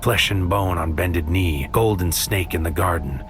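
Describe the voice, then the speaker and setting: An actor playing a tormented psychic soldier in an audio drama, grave and brooding, with formal, poetic phrasing. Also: male voice, low-pitched, measured pace. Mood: ominous. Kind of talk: audio drama acting with narrated inner monologue. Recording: studio voice over music.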